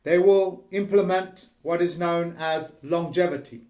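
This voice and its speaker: A man hosting a video, delivering a slow, emphatic monologue. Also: a little high, steady tone, warm tone. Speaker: host.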